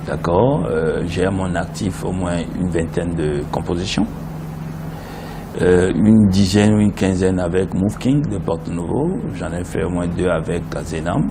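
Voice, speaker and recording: A man's voice: sits low, measured pace. A middle-aged man from Benin, a Yoruba speaker, chatting animatedly as an interview guest. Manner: animated storyteller, breaks into song. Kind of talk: casual interview chat. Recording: noisy background.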